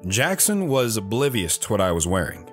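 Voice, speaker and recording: A man's voice: lowish voice, very animated, normal speed, faint music behind. A man playing an earnest, awkward teenage boy who tells his own story in an audio drama. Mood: plain. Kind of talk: first-person dramatic narration with acted dialogue.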